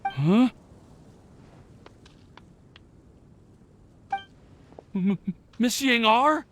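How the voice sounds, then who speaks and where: A man's voice: very high-pitched, lively intonation, slow, a bit bright, slight background noise, exaggerated voice. An actor voicing an anxious, bashful young man in a video game, with hesitant, stammering delivery. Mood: flustered. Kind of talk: video game dialogue acting.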